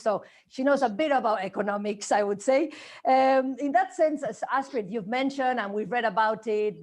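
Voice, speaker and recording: A woman's voice: mid-range voice, a little mellow. A woman hosting an online interview, asking long questions with frequent hesitations. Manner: hesitant, many "uh" fillers. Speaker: interview host.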